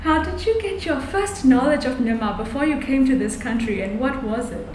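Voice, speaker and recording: A woman with a fairly high voice hosting an interview. She delivers prepared wording in a formal way, in a very roomy, echoing space with some background noise. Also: lively intonation, normal speed, darkish tone. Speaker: interviewer, host. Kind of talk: scripted introduction and prepared interview questions.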